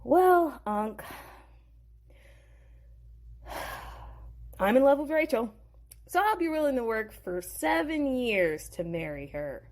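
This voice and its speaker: A woman's voice: on the high side, big pitch swings, darkish tone, voice pushed up. A woman voicing a slangy, hot-headed young man in love, in a storybook audio drama. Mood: earnest. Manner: slangy, quick to outrage.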